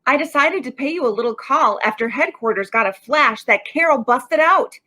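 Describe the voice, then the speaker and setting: High, bright radio detective played by a woman. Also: expressive, normal speed, full character voice. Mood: placating. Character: plain-spoken, placating police detective pal. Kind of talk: 1940s radio acting.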